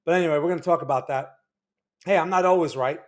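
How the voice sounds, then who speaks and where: A man's voice: a little high, quick pace. A man hosting a market analysis livestream in a loose, chatty way, greeting viewers and restarting his sentences. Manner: chatty, reads out chat names, loose restarts. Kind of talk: casual livestream talk. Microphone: desk microphone.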